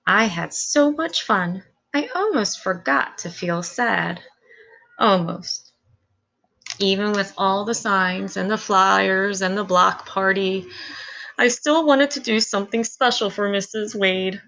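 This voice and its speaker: A woman's voice: mid-range voice, takes it slowly, gravelly, sharp tone, slight background noise, rough recording. A woman reading a children's story aloud as scripted narration.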